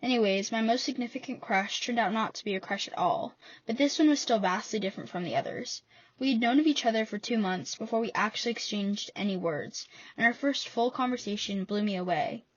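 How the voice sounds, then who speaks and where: A woman's voice: mid-range voice, fairly quick, no echo. Teen girl, voice-over essay read, wry and playful, dry dull lo-fi sound. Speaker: contributor reading her essay. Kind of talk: scripted personal essay reading.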